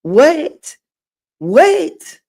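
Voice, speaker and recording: A middle-aged woman with a slightly raspy voice, hosting a casual late-night livestream and reading comments aloud in a sassy way. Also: sits high, big pitch swings, very slow, darkish tone. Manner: sassy, drawling, reads chat aloud. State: voice getting raspy. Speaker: livestream host.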